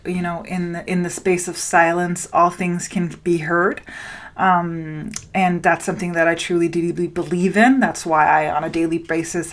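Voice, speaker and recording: Slow, lower-pitched woman podcaster from Denmark. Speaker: podcast host and spiritual business coach. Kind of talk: solo podcast talk addressed to listeners.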